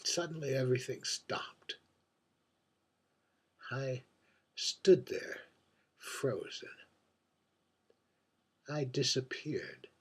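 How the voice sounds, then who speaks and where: Stage monologue: lonely, boozy drag queen played by a man, husky voice, unhurried and halting, wistful barroom confidant. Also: mid-range voice, expressive, a bit bright, heavily acted. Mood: confiding. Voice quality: husky, breathy. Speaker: writer reading own monologue. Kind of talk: theatrical dramatic monologue.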